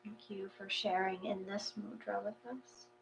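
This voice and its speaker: A woman hosting a meditation gathering, speaking in a reflective, halting way as she guides and chats. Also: mid-range voice, level tone, vocal fry, a little hiss or hum, low-quality recording. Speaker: host and reader of guided meditation.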